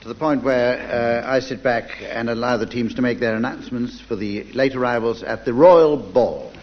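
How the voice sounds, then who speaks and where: A man chairing a radio comedy panel game in a dry, measured, deadpan way. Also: mid-range voice. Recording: dull, very noisy old radio recording. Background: studio audience ambience.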